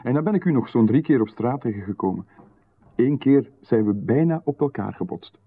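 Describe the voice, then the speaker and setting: A man with a Flemish accent, playing a calm, insistent stranger in a radio drama who seems to know far too much. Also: mid-range voice, fairly quick. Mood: insinuating. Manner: calm, insistent, coolly overfamiliar. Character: calm, knowing male stranger who watches closely. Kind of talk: 1990s radio drama acting. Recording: narrow, phone-like radio broadcast.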